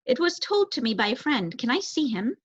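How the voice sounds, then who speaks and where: A woman's voice: high-pitched, big pitch swings, a little fast, slightly rough, heavily acted. A woman voicing a timid, polite mother mouse with a hesitant, pleading manner in a storybook reading. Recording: roomy echo, dull muffled sound.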